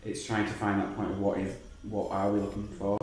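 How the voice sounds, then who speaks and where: A man's voice: lowish voice, monotone, a little fast, a little mellow. A man on an audition panel, hesitant and searching for words. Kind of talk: casual chat. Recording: echoey room from far off, noise behind.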